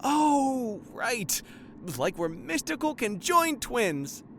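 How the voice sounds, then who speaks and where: Woman playing a high-voiced, feisty sidekick. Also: slow pace, a little hiss or hum, full character voice. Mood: upbeat. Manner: blunt, excitable. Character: feisty, outspoken, literal-minded loyal sidekick. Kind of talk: scripted fantasy comedy audio drama.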